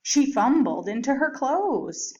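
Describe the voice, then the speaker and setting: A woman reads a children's story aloud like a primary teacher, slowly and with lively swings in pitch. Her voice sits fairly high, and the recording is slightly dull. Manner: deliberate, animated storytelling to young children. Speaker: teacher reading to a third grade class. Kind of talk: expressive picture-book read-aloud for children.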